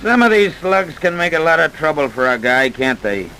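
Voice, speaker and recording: An actor playing a stern, blunt police detective in an old-time radio crime drama. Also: male voice, fairly high pitch, big pitch swings, moderate pace, pushed and bright, clean tone, full character voice, poor audio. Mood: dry. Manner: blunt, businesslike. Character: tough, stern New York police detective. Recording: hissy vintage radio recording.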